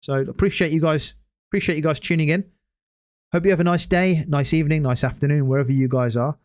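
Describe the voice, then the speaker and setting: A man hosting a casual solo gaming podcast, hoarse after hours of talking and rambling with many hesitant restarts. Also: a little high, steady tone, fast talker, darkish tone. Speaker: podcast host.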